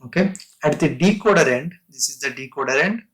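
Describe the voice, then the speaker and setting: A man lecturing on technical material in a halting, explanatory way, breaking sentences into short phrases and restating terms. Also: a little high, lively intonation, a bit bright.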